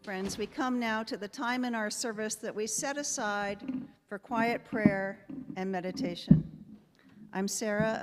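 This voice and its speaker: A woman introducing a time of quiet prayer and candles in a church service, calm and measured. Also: mid-range voice, a little hiss or hum. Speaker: lay leader of prayer time. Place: church sanctuary. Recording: long church reverb.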